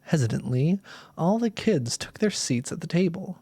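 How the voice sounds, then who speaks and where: A man's voice: a little high, lively intonation, moderate pace, dark tone, slightly rough, dry recording. A man narrating a story aloud as a storyteller, reading expressively. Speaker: storyteller, streamer.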